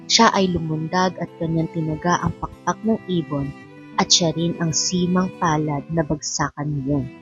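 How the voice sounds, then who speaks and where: A woman with a lowish voice narrates an epic tale in a scripted, dramatic style. The recording is somewhat dull, with faint music underneath. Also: fairly even intonation, measured pace, fairly bright tone.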